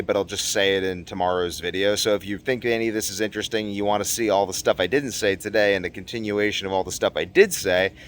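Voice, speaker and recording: A man talking casually and unscripted as a video blogger, earnest and rambling, often repeating phrases for emphasis. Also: low-pitched. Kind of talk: casual unscripted monologue to viewers.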